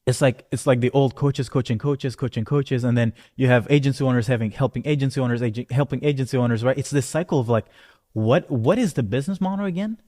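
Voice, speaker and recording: A man with a mid-pitched voice speaks in long, hall-like reverb. He presents as an entrepreneur telling his life story in a motivational way, conversational but emphatic, often tagging points with short check-in questions. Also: fast talker, dark tone. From born in Rwanda, moved to Canada at thirteen.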